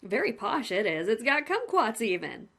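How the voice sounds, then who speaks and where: A woman's voice: fairly high pitch, very animated, normal speed, creaky, a bit bright, voice pushed up, full character voice. A woman doing a chatty, polite prison warden. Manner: chatty, overly polite, says sir constantly.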